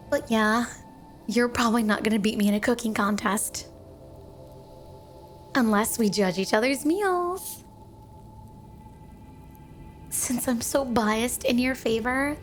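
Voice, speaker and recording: A woman voicing a flirty, clingy yandere snow woman spirit in an ASMR roleplay, speaking playfully and coyly to the listener as a doting wife. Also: a little high. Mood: doting, teasing. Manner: playful, coy, doting, teasing.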